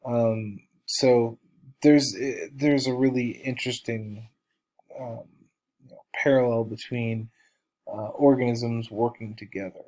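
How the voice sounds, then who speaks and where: A man with a stuffy nose from allergies, slowly lecturing biology students over slides with many ums and uhs. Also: mid-range voice, fairly even intonation, a little mellow, poor audio. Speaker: college biology instructor.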